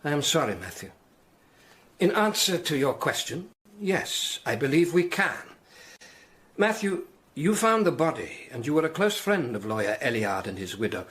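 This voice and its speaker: An actor playing a grave, strained but courteous Tudor archbishop in a radio drama. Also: male voice, on the high side, very animated, normal speed, a bit bright. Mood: earnest, probing.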